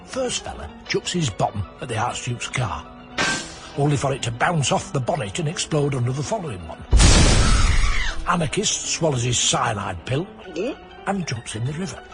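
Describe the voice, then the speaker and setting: Wry rogue narrator for radio comedy, male actor, animated, digressive, sardonic, music underneath. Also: mid-range voice, moderate pace, lightly acted. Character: wry Czech rogue looking back on the war. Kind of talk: comic storytelling narration in a radio play.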